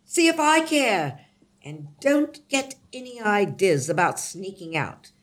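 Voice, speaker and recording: Woman acting a cantankerous guardian aunt, slow and swooping. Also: mid-range voice, very animated. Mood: scornful scolding. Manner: brusque, dismissive, scolding. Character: cantankerous older aunt guardian, scolding and gruff. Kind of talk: gothic comedy audio drama acting.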